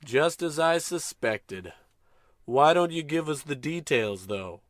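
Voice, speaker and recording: A man's voice: on the high side, lively intonation, takes it slowly, dry recording. Man playing a pompous, dim spaceship captain, close dry sound. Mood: smug, commanding. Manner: pompous, bossy, self-important. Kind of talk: old-time radio comedy acting.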